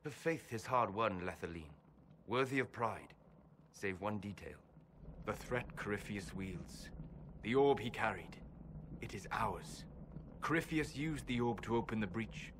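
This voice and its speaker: A man playing a learned, wary elf adviser in a video game, speaking calmly and gravely with deliberate, formal phrasing. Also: fairly low pitch, noisy background. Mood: grave, measured. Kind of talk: dramatic video game dialogue.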